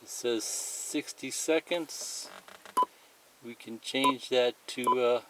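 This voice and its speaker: A man's voice: medium pitch, slow pace, heavy background noise. A man giving a plain, methodical, unscripted step-by-step demonstration of a product as a tutorial presenter.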